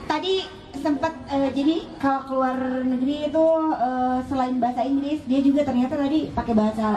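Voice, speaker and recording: Bubbly high-voiced Indonesian woman host. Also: fairly even intonation, fast, roomy sound, far from the mic, music in the background, rough recording. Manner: bubbly and teasing. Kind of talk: playful interviewing at an event, mixing Indonesian and English.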